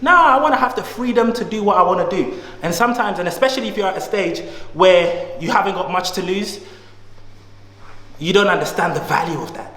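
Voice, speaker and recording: A man's voice: high voice, brisk, pushed and bright. A man in his thirties, a guest speaking in a casual conversation with an emphatic, slangy, motivational way of talking. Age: 30s. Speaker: guest, entrepreneur. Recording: echoey room with long reverb.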